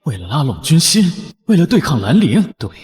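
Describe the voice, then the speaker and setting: A man's voice: a little high, very animated, brisk, darkish tone. A man playing a proud, stern and suspicious merman marshal in a fantasy drama, speaking formally and easily flustered. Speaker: voice actor in a fantasy short drama.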